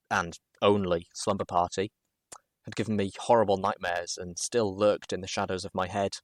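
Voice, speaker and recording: A man reading a first-person story as narrator, expressively and with dramatic pauses. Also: lowish voice, no echo, clean studio sound. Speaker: narrator, show host.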